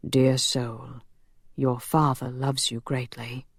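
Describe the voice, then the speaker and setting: A narrator voicing a calm male angel who collects souls, solemn and measured, in an audiobook. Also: mid-range voice, slightly rough, no room sound, studio quality.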